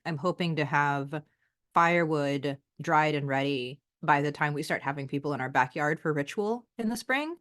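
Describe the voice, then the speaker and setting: A woman's voice: low-pitched, fairly bright tone. A woman co-hosting a podcast, chatting casually with dry humor. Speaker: podcast co-host.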